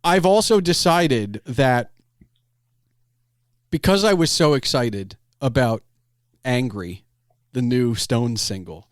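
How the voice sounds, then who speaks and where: A man's voice: medium pitch, expressive, takes it slowly, pauses often, dry recording, clean studio sound. A middle-aged man in casual podcast conversation, rambling and digressive, often breaking off his clauses to start another. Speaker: podcast host.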